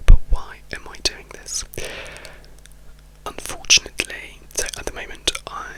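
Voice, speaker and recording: Slow, whispering man. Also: poor audio. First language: Italian. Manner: soft, slow, intimate whisper with frequent restarts. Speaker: ASMR YouTuber. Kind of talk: whispered ASMR ramble. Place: indoors, home room.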